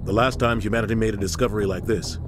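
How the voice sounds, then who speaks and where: An actor playing a steady, serious military starship captain in a video game, giving firm, authoritative briefings. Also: male voice, lowish voice, rapid-fire, background noise, lightly acted. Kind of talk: video game cutscene acting.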